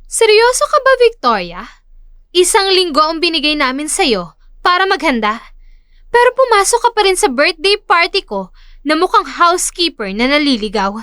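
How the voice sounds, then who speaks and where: A woman plays a spoiled, boastful elder sister in an audio drama. Her voice is high and lively, swooping as she sneers and shows off with bits of English. The recording is close and dry. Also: moderate pace, dry recording, heavily acted. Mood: scornful. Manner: showy, sneering, mixes English into speech.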